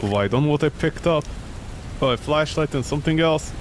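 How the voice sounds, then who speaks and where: A man's voice: a little high, a little fast, slight background noise. A man doing live game commentary, talking to himself in a sarcastic, exclamatory way. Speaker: gaming streamer.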